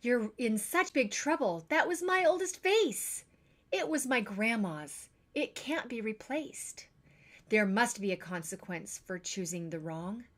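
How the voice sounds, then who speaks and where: A woman voicing a stern adult mother who scolds her daughter in firm, measured words. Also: sits high, lively intonation, voice pushed up.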